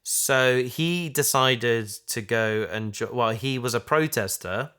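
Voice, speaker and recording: A man's voice: medium pitch, takes it slowly, pushed and bright, clear, resonant tone. Hesitant man chatting, thinking aloud. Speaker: podcast co-host and audio drama creator. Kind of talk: casual discussion chat.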